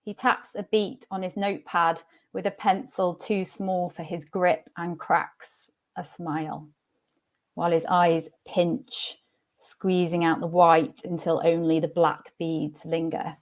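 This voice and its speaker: A woman's voice: on the low side, level tone, slow pace. A woman reading her own poem aloud. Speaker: poet. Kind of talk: poetry reading.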